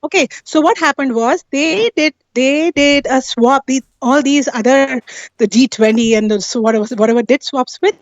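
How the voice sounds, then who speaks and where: A woman with a high voice talks through an explanation in a casual, insistent way, regularly tagging her points with questions for agreement. The audio is dry and somewhat dull, like a lo-fi call. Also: moderate pace, no echo. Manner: insistent, often seeks agreement with tag questions. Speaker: guest on a live talk stream.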